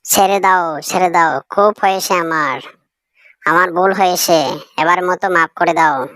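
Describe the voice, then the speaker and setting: A woman's voice: lowish voice, a little slow, fairly bright tone, no room sound, studio quality. A woman voicing a sly, cowardly trickster fox in a children's cartoon, with a wheedling, dramatic delivery. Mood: pleading. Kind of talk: animated children's cartoon voice acting.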